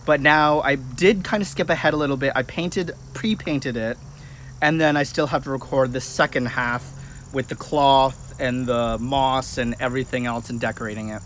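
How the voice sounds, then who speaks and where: A man's voice: mid-range voice, fairly even intonation, normal speed, a bit bright, a little hiss or hum. A man in his late twenties chatting casually and informally to viewers on a livestream. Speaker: livestream host, practitioner of folk magic.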